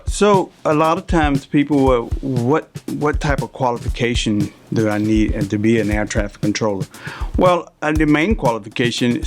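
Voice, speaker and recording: Older man explaining, music behind. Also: medium pitch. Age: 50s or older. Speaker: interview guest, veteran air traffic controller. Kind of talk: conversational interview, explaining a career.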